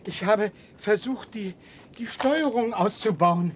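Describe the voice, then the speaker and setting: A man's voice: high voice, slow. An actor playing an anxious middle-aged father stranded after a rocket crash, urgent and agitated, in a radio drama. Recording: noisy old radio play with outdoor ambience.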